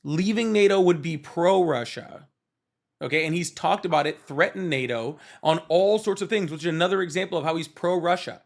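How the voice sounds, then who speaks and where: A man hosting a live political call-in show, pressing a caller with rapid, interrupting questions in a heated debate. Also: on the high side.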